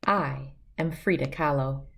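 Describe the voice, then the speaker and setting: A woman's voice: rumbling low, measured pace, a bit bright. A woman reading a children's picture book aloud as host, telling it expressively in the first person. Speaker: storybook read-aloud host.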